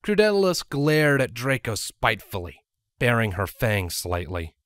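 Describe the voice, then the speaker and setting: A man's voice: mid-range voice, big pitch swings, unhurried, fairly bright tone, no room sound, studio quality. A man reading scripted story narration as the narrator.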